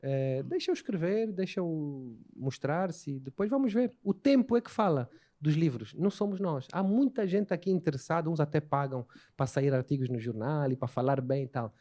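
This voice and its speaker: A man with an Angolan Portuguese accent, an author talking as a guest in a relaxed interview, animated and often voicing other people in quick asides. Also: a little high.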